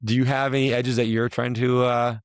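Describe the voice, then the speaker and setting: A man hosting a casual talk, his voice hoarse and raspy from a week of yelling, rambling and restarting as he asks questions. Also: medium pitch, level tone, brisk, dry recording, studio quality. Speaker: podcast host, fitness coach. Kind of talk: casual chat.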